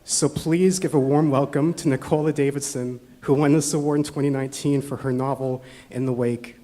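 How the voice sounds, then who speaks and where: A man hosting a book awards ceremony, giving steady, scripted remarks read from notes. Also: mid-range voice, a little fast. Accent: Canadian.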